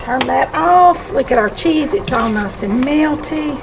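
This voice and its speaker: Female home-cooking host, Southern US accent, high-pitched with gravelly fry, folksy and chatty. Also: lively intonation, measured pace. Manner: folksy, chatty, repeats herself. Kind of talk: casual chat while cooking. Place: home kitchen. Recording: loud kitchen background noise.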